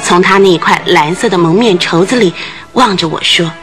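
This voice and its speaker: A woman's voice: lowish voice, moderate pace, a bit bright, soft background music, close to an everyday voice. An actress in a radio drama playing a nervous, talkative landlady who tells things in earnest detail. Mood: frightened.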